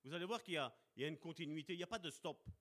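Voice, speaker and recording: A man preaching a sermon with passion, slowing down to stress key words and calling out to his brothers and sisters. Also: on the high side, lively intonation, sharp tone. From Italian heritage. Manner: impassioned, addresses congregation as brother and sister.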